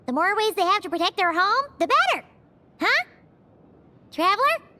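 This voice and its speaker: A squeaky little fairy sidekick for a game, piercingly bright, wildly swinging pitch, always asking questions. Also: female voice, moderate pace, exaggerated voice. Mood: curious. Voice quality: high squeaky voice. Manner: inquisitive, excitable. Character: tiny chirpy female fairy companion, curious. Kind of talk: video game voice acting.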